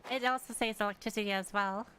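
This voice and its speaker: A woman's voice: medium pitch, fairly even intonation, fast, sharp tone, a little hiss or hum. A young woman live streaming a game from her room, chatting offhandedly and rambling as she answers viewers. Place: room at home.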